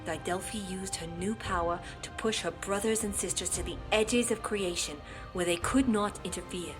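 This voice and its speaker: A woman voicing an opossum mother in an animated fandub, telling a story with drama and casual, playful asides, easily flustered. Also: mid-range voice, normal speed, natural voice. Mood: earnest, solemn. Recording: loud music behind the voice.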